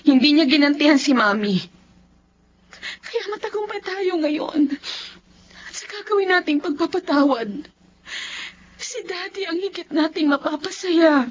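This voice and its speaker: Earnest, remorseful grown daughter. Radio drama, high-pitched, dull lo-fi sound. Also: female voice, animated, unhurried, long pauses. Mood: earnest, reflective. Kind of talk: Filipino radio drama acting. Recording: dull lo-fi audio with music.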